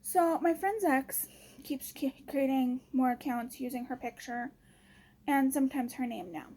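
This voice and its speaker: High-voiced woman venting, exasperated. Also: a little slow, a little mellow, rough recording. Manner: exasperated, blunt, slangy. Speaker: vlogger. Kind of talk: casual venting chat.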